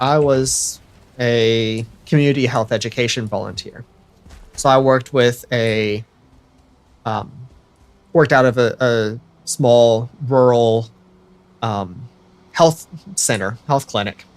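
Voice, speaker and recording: A man's voice: medium pitch, no room sound. A young American man chatting casually to viewers while he livestreams a building game, rambling and correcting himself as he goes. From United States. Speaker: streamer.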